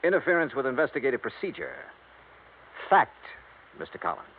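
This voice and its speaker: An actor playing a hard-boiled, wry insurance investigator in a 1950s radio detective drama, blunt and pressing. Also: male voice, mid-range voice, very animated, brisk, lightly acted. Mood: probing, firm. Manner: blunt, pressing questioner, dry wry narrator. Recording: tinny, hissy 1950s radio recording.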